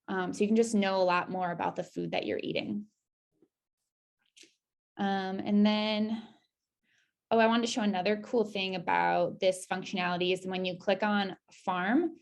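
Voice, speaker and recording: A woman's voice: medium pitch, vocal fry. A woman presenting an informal screen-share walkthrough of a website, talking casually with upbeat asides. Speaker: presenter giving a website demo.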